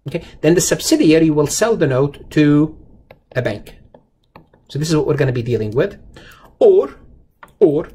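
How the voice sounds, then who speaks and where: A man's voice: on the high side, a little mellow, husky. A man giving an informal accounting lecture, explaining step by step with emphatic repetition and rhetorical questions aimed straight at the learner. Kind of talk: explanatory lecture over slides, informal and conversational. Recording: noticeable background noise.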